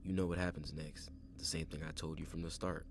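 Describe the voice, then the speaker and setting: A gravelly-voiced man doing a murderous male stalker, wheedling and unhinged, pleading in a coaxing tone that curdles into threats. The voice is low and rough, with clear noise behind it. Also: level tone, a little fast. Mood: taunting. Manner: coaxing then threatening, repetitive.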